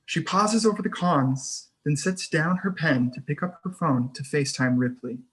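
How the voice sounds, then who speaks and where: Slightly high-voiced man narrating screenplay directions. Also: normal speed, fairly bright tone, breathy edge, low-quality recording. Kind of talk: scripted narration at a screenplay table read.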